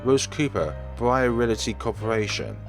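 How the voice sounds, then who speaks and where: A man's voice: mid-range voice, expressive, a little slow, gravelly, soft background music. A man voicing a busy, friendly professional woman realtor in a visual novel, apologetic and slightly hesitant. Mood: apologetic, businesslike. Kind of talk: visual novel dialogue read aloud.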